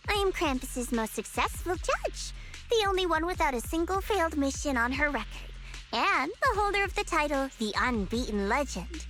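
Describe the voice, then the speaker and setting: A woman voicing a proud young female investigator in an anime-style game, smug, teasing and brisk in manner. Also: high-pitched, very animated, over music. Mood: boastful. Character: proud, haughty young female investigator boasting of her record.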